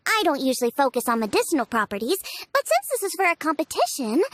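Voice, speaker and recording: A woman's voice: very high-pitched, no echo. An actress playing a cheerful, eager young female chef in a video game, perky and earnest.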